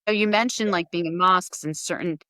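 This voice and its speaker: A woman's voice: lowish voice, fairly quick, sharp tone, no room sound, clean studio sound. A woman hosting a podcast interview, asking enthusiastic, rambling questions. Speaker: podcast host. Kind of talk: conversational interview.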